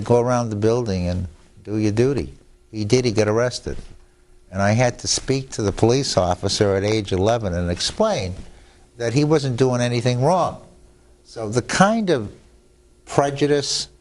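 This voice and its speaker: A man's voice: on the low side, darkish tone. An older man, a lawyer whose first language is Italian, talking in a studio interview with restarts and chuckles. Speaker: lawyer guest. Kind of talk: studio talk show interview.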